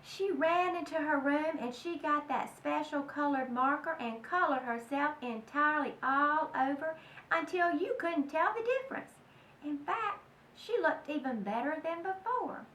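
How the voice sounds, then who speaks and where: A woman's voice: high-pitched, lively intonation, a little slow, fairly bright tone. A woman reading aloud to children in a dramatic, emphatic way, talking directly to her young listeners. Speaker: children's storybook reader. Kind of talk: animated read-aloud to children. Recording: echoey room, distant mic, faint noise.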